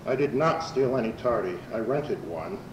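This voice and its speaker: A man's voice: mid-range voice, animated, moderate pace, recorded from a distance, natural voice. A man playing a mild, earnest bovine space adventurer in an amateur radio comedy, speaking plainly and matter-of-factly. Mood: defensive. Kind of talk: amateur old-time radio comedy acting. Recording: roomy amateur recording, faint noise.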